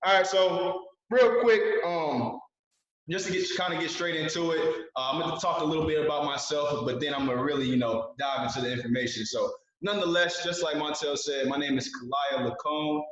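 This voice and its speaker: A man's voice: fairly high pitch, brisk, a bit bright. A man in his twenties giving an earnest motivational talk as a guest speaker on a video call, repeating key phrases for emphasis. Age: 20s. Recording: very echoey, dull video call audio.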